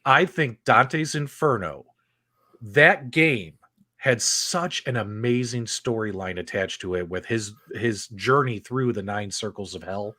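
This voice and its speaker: A man's voice: lowish voice, measured pace, sharp tone, dry recording. Guy hosting a chatty livestream, really into it, repeats himself for emphasis, dry sound. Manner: enthusiastic, repeats phrases for emphasis. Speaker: host of a video game talk show. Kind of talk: casual livestream chat.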